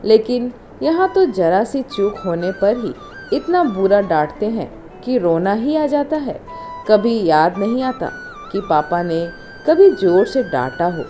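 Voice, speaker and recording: A woman narrating an emotional family story as a storyteller, in a measured, expressive way. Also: medium pitch. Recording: music under the voice. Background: instrumental music.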